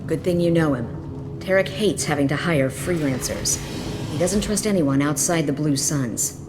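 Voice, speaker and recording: Woman playing a low-voiced, jaded mercenary lieutenant. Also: moderate pace, over music, natural voice. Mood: businesslike. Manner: frank, businesslike, bitter. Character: jaded, hard-edged female mercenary lieutenant. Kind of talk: video game voice acting.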